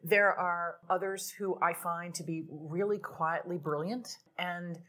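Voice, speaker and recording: A woman's voice: on the low side, fairly even intonation, measured pace, bright, forceful tone. A woman marketing executive on a live panel, speaking forthrightly with what she calls a strong voice. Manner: forthright, self-described strong voice. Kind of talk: live podcast panel talk.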